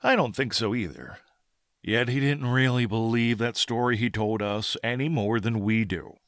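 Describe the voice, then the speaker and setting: A man's voice: mid-range voice, normal speed, no room sound, clean studio sound, close to an everyday voice. A man acting in an old-time radio style drama as an earnest, skeptical small-town doctor and husband. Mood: wry, skeptical. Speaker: actor.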